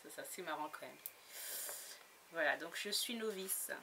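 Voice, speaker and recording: A woman's voice: low voice, expressive, measured pace. A woman chatting casually about her latest book haul, giggly and full of fillers and restarts. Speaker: book blogger. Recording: noisy home recording.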